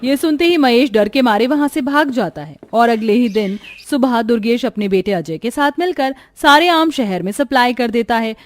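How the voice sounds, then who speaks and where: A woman's voice: on the high side, no room sound. A woman narrator reading a scripted story as storytelling narration.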